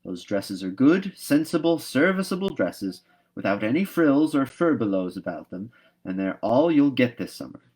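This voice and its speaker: Stern older guardian woman in a storybook, played by a male reader: no-nonsense, brisk, scolding, firmly emphatic. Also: medium pitch, very animated, a little mellow, pitched above the performer's own voice. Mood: stern, sniffing.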